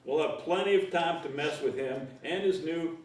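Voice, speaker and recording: A laid-back man with a slightly high voice reads his lines crudely. He sits away from the mic in an echoey room. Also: moderate pace, distant mic. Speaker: table read actor. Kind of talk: comedic table reading.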